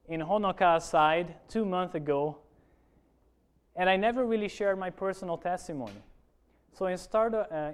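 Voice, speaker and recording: Pastor, male, Brazilian accent, earnest preaching to a congregation; high and smooth. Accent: Brazilian Portuguese. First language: Portuguese. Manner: earnest, addresses congregation with frequent "amen?". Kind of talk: sermon testimony. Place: church.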